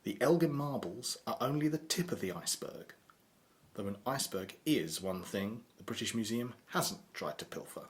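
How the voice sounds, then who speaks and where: A man's voice: on the low side, animated, normal speed, natural voice. A man narrating an audio drama as a wry storyteller, reading scripted prose. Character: wry male storyteller narrating an adventure tale.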